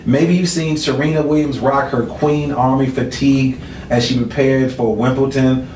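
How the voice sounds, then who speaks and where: A man's voice: mid-range voice, fairly even intonation, moderate pace, darkish tone, slight background noise. A man hosting a casual interview show, teasing and slangy in his delivery. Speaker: talk show host. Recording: echoey room, distant mic.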